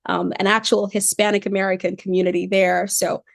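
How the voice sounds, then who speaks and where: A young woman from Cameroon, a doctoral student talking as a podcast guest in a thoughtful, animated way. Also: medium pitch, a little fast, gravelly, no echo, studio quality. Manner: reflective, animated, says "like" often. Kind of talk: podcast interview.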